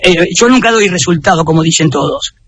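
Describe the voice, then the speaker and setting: Fast-talking Argentine man, high and husky. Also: lively intonation, a bit bright, dry recording. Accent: Argentine Spanish. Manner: emphatic, insistent, tag questions. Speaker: interview guest, football fan. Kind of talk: casual opinionated chat.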